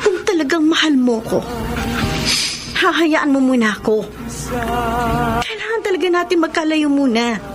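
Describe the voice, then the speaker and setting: A woman's voice: high-pitched, lively intonation, moderate pace, pauses often, music in the background. An actress playing a resolute, weary woman leaving her partner, in a firm, subdued radio drama manner. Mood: firm, sad. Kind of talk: Tagalog radio drama acting.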